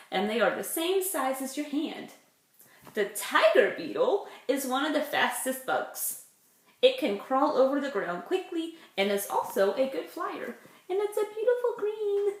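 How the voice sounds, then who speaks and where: A woman's voice: high-pitched, a bit bright. A woman, a preschool teacher reading aloud to young children with simple, chatty explanations. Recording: echoey room.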